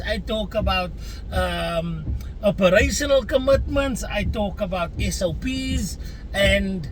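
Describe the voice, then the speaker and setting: A man's voice: very high voice, sharp tone, a little hiss or hum, low-quality recording. A man with a South African accent, a native Afrikaans speaker, giving an earnest, chatty opinion rant to his viewers. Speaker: vlogger. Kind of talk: casual opinion rant to camera.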